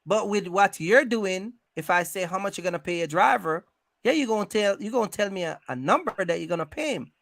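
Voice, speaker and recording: High-pitched, emphatic man giving advice. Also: lively intonation, brisk, vocal fry, a bit bright, no echo, studio quality. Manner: emphatic, repetitive, questioning. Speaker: host giving business advice to truck owners. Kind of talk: animated advisory conversation.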